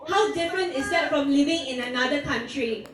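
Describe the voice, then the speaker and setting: Thirtyish woman from singapore giving a rally speech, highish voice, far off through echoey PA. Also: background noise. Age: 30s. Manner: earnest, measured delivery with rhetorical questions. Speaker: protest speaker. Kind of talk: public speech at an outdoor rally. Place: outdoor park rally. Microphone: PA microphone recorded from the audience. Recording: distant echoey outdoor PA recording.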